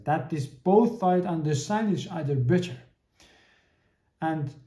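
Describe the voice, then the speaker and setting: A man in his thirties hosts a solo podcast, his voice fairly high but dark and mellow. He speaks haltingly, repeating words and restarting his sentences as he hunts for the right phrase. The room around him rings with echo. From Iran. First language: Persian. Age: 30s. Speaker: podcast host.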